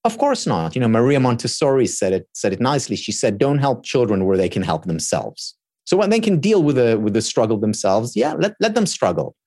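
A man's voice: mid-range voice, huge pitch swings, brisk, darkish tone, dry recording, studio quality. A man whose first language is Hebrew, a guest giving earnest explanations in an interview and often repeating words as he thinks. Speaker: guest expert. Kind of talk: thoughtful interview talk.

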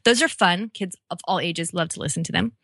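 A woman's voice: fairly low pitch, fairly quick, fairly bright tone, husky, no echo, clean studio sound. An enthusiastic, chatty middle-aged American woman talking casually as a podcast guest. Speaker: podcast guest, read-aloud community founder. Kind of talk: casual conversational interview.

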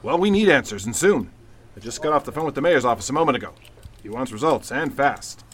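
An actor playing a gruff, frustrated police commissioner in an old-time radio drama, with an emphatic, commanding manner. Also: male voice, mid-range voice, animated, fast talker, fairly bright tone, lightly acted. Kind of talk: 1940s radio drama acting.

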